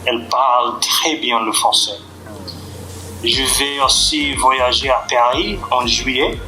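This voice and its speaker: A man, a beginner student speaking deliberate, slightly halting French as a podcast guest. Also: medium pitch, fairly even intonation, low-quality recording. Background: background music.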